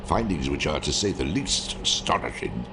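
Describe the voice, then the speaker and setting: An actor playing a senior wartime intelligence chief, authoritative and deliberate, leading a briefing in a video game. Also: male voice, very deep voice, big pitch swings, background noise, exaggerated voice. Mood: grave, measured.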